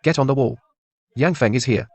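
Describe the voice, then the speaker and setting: A man's voice: medium pitch, normal speed, no room sound, close to an everyday voice. Man playing a desperate, blustering chancellor, dry sound. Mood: paranoid, harsh. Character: cowardly, desperate male chancellor, scheming and cruel. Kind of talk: dubbed motion comic drama acting.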